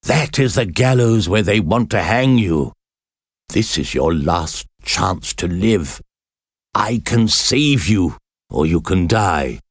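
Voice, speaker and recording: A man voicing an obsessive middle-aged priest, speaking slowly with a trembling, menacing tone. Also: low voice, animated, slightly rough, dry recording, studio quality, full character voice. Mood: pleading menace.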